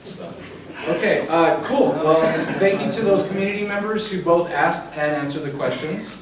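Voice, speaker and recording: A man's voice: a little high, moderate pace, a crowd in the background. Man emceeing in a very echoey room. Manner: casual, repeats audience questions for the room. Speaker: host of a community tech meetup. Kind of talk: informal emceeing to a crowd. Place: meetup room with an audience. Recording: echoey room from far off, faint noise.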